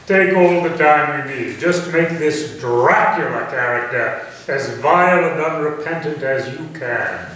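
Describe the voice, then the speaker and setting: A man's voice: a little high, a little slow, a bit bright. A man playing an urbane, teasing, proud Victorian English nobleman in a staged reading, articulate and dryly probing in a theatrical way. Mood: proud, eager. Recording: very echoey room, distant mic, hissy noise.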